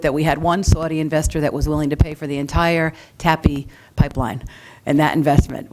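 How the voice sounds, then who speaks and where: A woman's voice: low voice, a little mellow. A woman answering audience questions as an expert panelist, in a frank, emphatic and informal manner. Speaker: panelist, mining sector expert.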